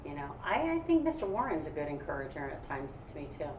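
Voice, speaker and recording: A woman with a slightly low voice talks like a school educator, casual, encouraging and explanatory. The recording is dull and echoey, picked up from a little way off. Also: sing-song, quick pace. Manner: encouraging, conversational. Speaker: elementary educator co-hosting a read-aloud. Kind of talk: casual explanatory chat for children. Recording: echoey room, distant dull mic, faint noise.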